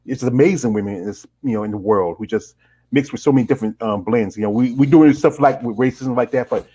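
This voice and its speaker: A man's voice: medium pitch, fast, warm tone, slightly rough. A middle-aged man hosting a casual live-stream interview and reading out viewer comments, rambling and leaning on "you know" fillers. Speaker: host.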